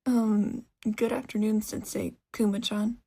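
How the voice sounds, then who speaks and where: A woman's voice: medium pitch, level tone, measured pace, vocal fry, warm tone, slightly rough. A woman voicing a timid, polite teenage boy who speaks hesitantly in an audio story. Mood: nervous, polite.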